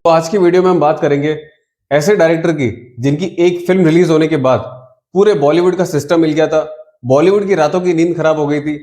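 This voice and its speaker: High-pitched man, echoey room. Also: dark tone. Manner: emphatic, mixes in English words. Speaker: video commentator. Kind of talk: opinionated film news commentary to viewers. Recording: roomy, echoing sound.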